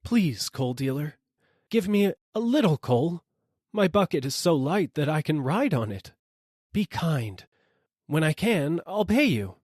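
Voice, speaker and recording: Man voicing a desperate, high-pitched freezing beggar. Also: very animated, unhurried, a little mellow, no echo, studio quality. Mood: pleading. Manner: pleading, humble, crying out. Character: desperate freezing poor man begging for coal.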